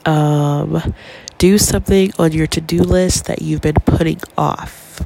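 Stuffy-nosed woman podcaster with a gravelly fry. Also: deep voice, steady tone, slow, background noise. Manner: chatty, encouraging, many ums. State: congested with a cold, stuffy nose. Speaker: podcast host. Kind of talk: casual solo podcast advice.

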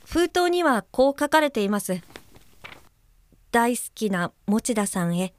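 Woman acting as a careful guide helper, higher-pitched. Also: big pitch swings, a little slow. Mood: careful, subdued. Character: considerate, observant female guide helper for the blind. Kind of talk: Japanese audio drama acting, reading aloud and describing.

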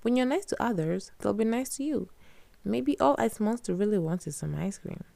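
A brave, curious little girl from a storybook, played by a woman. She speaks eagerly and earnestly in a raised, girlish voice with a slight rasp. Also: mid-range voice, animated, normal speed, mellow, no echo, pitched above the performer's own voice.

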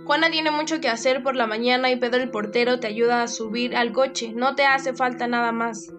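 A woman's voice: a little high, steady tone, moderate pace, over music, natural voice. A woman voicing an impatient mother who answers sharply and dismissively. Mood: irritated. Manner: sharp, scolding.

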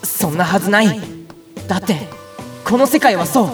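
A man acting an ordinary, excitable young big-brother type in a Japanese audio drama, chatty and comically flustered, with first-person narration. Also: high voice, moderate pace, far from the mic, soft background music. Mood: uneasy narration.

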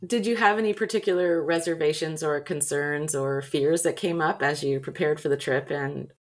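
A woman's voice: sits low, normal speed. A woman hosting a podcast, introducing guests and asking questions in an enthusiastic, gushing way. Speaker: podcast host, medical coordinator. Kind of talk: scripted intro then interview questions.

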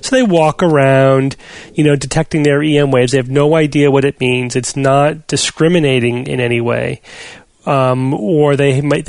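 A man hosting a podcast and leading a casual panel discussion in a measured, explanatory way. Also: medium pitch, no room sound. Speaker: podcast host.

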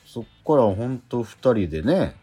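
A middle-aged man hosting a radio show, chatting in a relaxed, rambling, self-deprecating way. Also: mid-range voice, moderate pace, breathy edge. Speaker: radio host. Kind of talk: casual radio chat.

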